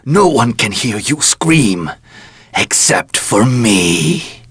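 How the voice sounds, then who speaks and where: An actor playing a sinister villain savouring a threat with theatrical relish in a video game. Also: male voice, mid-range voice, big pitch swings, extremely slow, a bit bright, husky, dry recording, full character voice.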